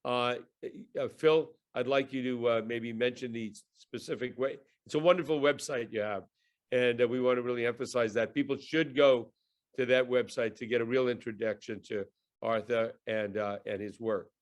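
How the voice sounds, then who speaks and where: A man's voice: medium pitch, fairly even intonation, normal speed. A man hosting a podcast, opening the show and introducing the guest, rambling with many fillers. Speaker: podcast host.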